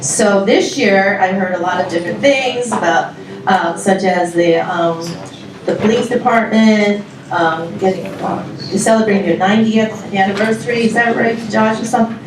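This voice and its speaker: A woman's voice: mid-range voice, noisy background. Giggly woman in an echoey hall. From Taiwan. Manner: chatty, giggly, halting with many fillers. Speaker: music club organizer presenting a show. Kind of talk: informal welcome speech to an audience. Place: large indoor hall with live audience. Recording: very echoey hall, mic far off.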